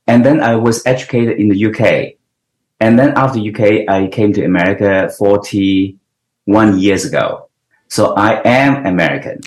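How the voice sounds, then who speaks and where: A man's voice: on the low side, measured pace. Older male guest from Hong Kong, earnest and explanatory, recorded in a room with noticeable echo. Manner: earnest, explanatory, with frequent restarts. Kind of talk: interview answers. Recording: roomy echo.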